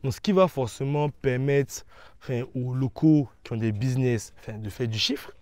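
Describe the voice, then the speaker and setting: A man's voice: mid-range voice, moderate pace, darkish tone, no echo. Man explaining, dry close sound. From Ivory Coast. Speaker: interview guest. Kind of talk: conversational interview answers.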